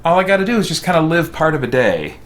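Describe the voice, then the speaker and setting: A man's voice: on the high side, big pitch swings, fast talker. A man, sounding middle-aged, chatting casually as he streams a video game, with a jokey, self-deprecating manner. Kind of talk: casual chat while playing a video game.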